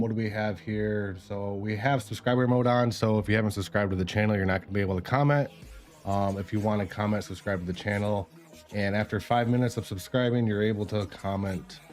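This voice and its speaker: A man getting over a cold, with a raspy voice, casually walking viewers through crypto charts on a live stream. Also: on the low side, a little mellow. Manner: casual, explanatory, many fillers.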